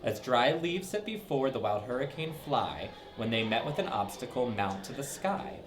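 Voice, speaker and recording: Lively man reading a story to kids. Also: mid-range voice, moderate pace. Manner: lively, sing-song, with dramatic swoops. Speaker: storybook reader for children. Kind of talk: reading a rhyming story aloud.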